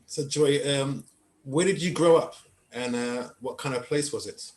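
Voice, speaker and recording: A man hosting a spiritual conversation show, asking questions haltingly with many fillers and restarts. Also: medium pitch, a little mellow. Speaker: podcast host. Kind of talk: interview hosting.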